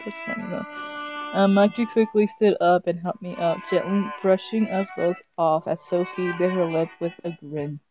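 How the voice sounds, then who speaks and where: A woman streaming a visual novel, giggly and amused, reading text aloud casually and stumbling over it, game music behind her. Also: on the low side. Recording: slightly dull sound, game music behind.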